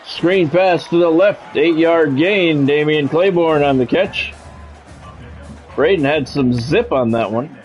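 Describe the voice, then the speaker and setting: A man's voice: a little high, slow, faint music behind. A man doing sports play-by-play of a video game on a stream, mixed with casual chat with viewers.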